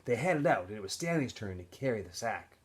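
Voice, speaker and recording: A man reads a story aloud with expressive, lively intonation. His voice is somewhat husky, and he narrates like an animated storyteller addressing students. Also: fairly low pitch, fairly quick. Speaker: teacher or school staff reading aloud to students. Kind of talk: expressive audiobook-style narration.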